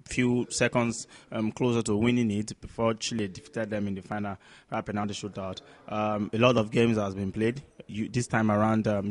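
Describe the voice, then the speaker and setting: A man co-hosting a sports talk show, running through scores and news in a halting way with repeated words. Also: lowish voice. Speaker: co-host, sports pundit.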